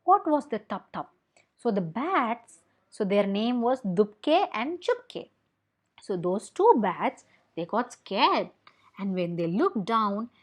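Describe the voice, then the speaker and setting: A young woman with an Indian accent telling a picture-book story to children, explaining as she goes and asking questions in an expressive way. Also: mid-range voice. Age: young adult.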